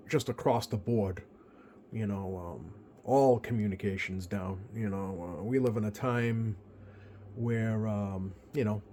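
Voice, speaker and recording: An American man giving a casual, unscripted product walkthrough as a hobbyist, speaking in a hesitant, halting way with drawn-out pauses. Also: on the low side. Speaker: radio hobbyist presenting a video. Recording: clear steady background noise.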